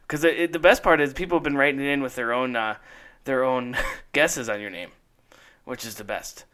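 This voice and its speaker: Bright-voiced chatty male podcast host. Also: mid-range voice, normal speed. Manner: chatty, laughs often, repeats words. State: feeling run down. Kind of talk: casual chat.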